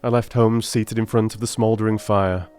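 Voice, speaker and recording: A man speaking as an audiobook narrator and producer, in a measured, courteous storytelling style. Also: on the low side, fairly even intonation, a little mellow, clear, resonant tone, faint music behind. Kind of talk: measured storytelling and listener address.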